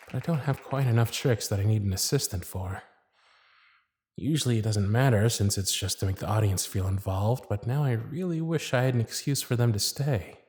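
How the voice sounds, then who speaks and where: Man acting smitten magician's hushed inner thoughts. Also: lowish voice, voice pushed down, lightly acted. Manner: hushed, confiding inner monologue. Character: smitten magician's private thoughts, shy and fond. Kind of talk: ASMR roleplay.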